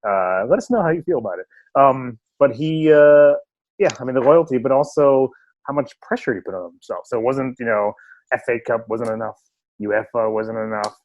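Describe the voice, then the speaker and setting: A man's voice: mid-range voice, expressive, moderate pace, dry recording, muffled recording. A man hosting a podcast remotely, chatting and joking with lots of fillers. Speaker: podcast host. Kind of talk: casual chat. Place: remote home setup.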